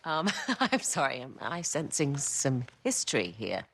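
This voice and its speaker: Sly or adventuress in a game, deep voice, very lively, teasing, faint noise. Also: female voice, a little slow, heavily acted. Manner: teasing, cool, sardonic. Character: sly, pragmatic, teasing female treasure hunter. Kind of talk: naturalistic video game cutscene acting.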